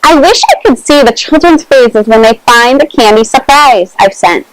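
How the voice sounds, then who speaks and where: A woman voicing a kindly, devout old male candy maker in a storybook, gentle and thoughtful. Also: on the high side, animated, normal speed, no room sound, natural voice, rough recording. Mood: warm, wishful.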